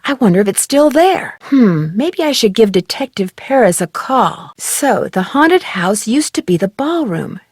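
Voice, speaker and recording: A woman playing an upbeat, curious and polite young amateur detective in scripted adventure game lines, clearly enunciated. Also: fairly high pitch, big pitch swings, unhurried, few pauses, dry recording, clean studio sound, exaggerated voice. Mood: musing. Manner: perky, clearly enunciated, musing to herself or guiding the player. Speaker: video game heroine and tutorial guide.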